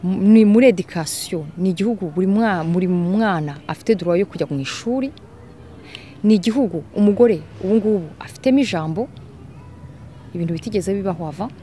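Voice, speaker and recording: A mellow-voiced woman giving her earnest message to a community documentary, faint bustle behind her. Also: mid-range voice, a little fast. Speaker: interviewee. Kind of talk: earnest interview statement. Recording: faint ambient background noise.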